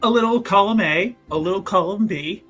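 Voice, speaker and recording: A man playing a tabletop roleplaying game, explaining his character's doings in a halting, rambling way full of fillers and restarts. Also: sits high, fairly bright tone, breathy edge, faint music behind. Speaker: player. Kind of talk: actual-play tabletop roleplay.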